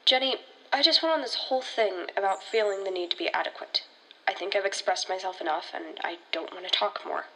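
A woman's voice: mid-range voice, fairly quick, bright, forceful tone, rough recording. A woman playing an anxious, defensive teenage rookie ranger in an audio drama, insistent and sarcastic. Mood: sullen, resigned.

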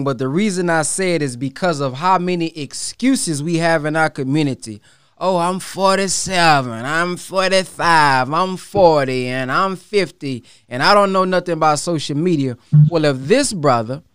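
A man with a smooth, resonant voice and a slightly raised pitch. He hosts an interview, introducing and hyping up his guest with energy. The sound is dry and close, with no room echo. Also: a bit bright. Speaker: host. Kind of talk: hosting an interview.

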